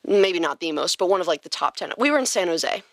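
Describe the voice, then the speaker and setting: A woman's voice: fairly low pitch, lively intonation, fast, no echo. A woman hosting a podcast in casual, playful chat, recorded in a studio. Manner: informal, playful banter. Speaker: podcast host and voice actor.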